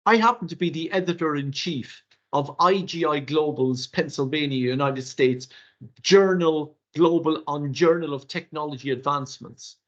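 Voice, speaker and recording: An older Irish man whose first language is Irish Gaelic gives a webinar workshop in an animated, rhetorical way, with theatrical asides, heard over a video call. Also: on the high side, moderate pace. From Ireland. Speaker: workshop presenter. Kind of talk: webinar lecture. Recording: dull lo-fi webinar audio.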